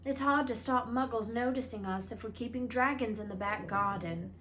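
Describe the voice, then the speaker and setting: A woman voicing an excitable, blunt schoolboy in a storybook, talking emphatically and informally. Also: a little high, moderate pace, a little hiss or hum, lightly acted. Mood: insistent. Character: excitable, blunt schoolboy, eager know-it-all about dragons.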